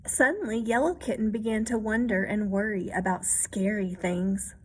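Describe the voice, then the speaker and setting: A woman reading a children's picture book aloud expressively, pausing to add explanations and check-in questions for young listeners. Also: mid-range voice, a little mellow, husky. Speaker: read-aloud storyteller.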